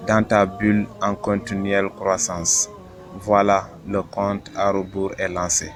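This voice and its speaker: Low-voiced man solemnly reciting religious poetry. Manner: measured, earnest, exhorting delivery. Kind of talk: solemn scripted recitation of a religious rhyming poem. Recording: music behind the voice. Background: music.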